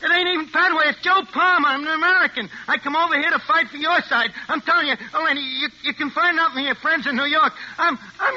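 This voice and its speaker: A cocky street-talking forger. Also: male voice, extremely high pitch, fast, few pauses, bright, forceful tone, heavily acted. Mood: panicked pleading. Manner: street slang, tough-guy drawl. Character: wisecracking crooked American forger man, cocky. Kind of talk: 1940s radio acting. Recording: dull old radio recording, faint hiss.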